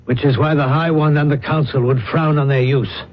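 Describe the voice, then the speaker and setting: An actor playing a cool, calculating controller of an alien planet in a radio drama, speaking in a measured, insinuating way. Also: male voice, fairly high pitch, fairly even intonation, a little hiss or hum, close to an everyday voice. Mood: cool, formal. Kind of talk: old-time radio drama acting. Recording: dull, muffled radio drama recording.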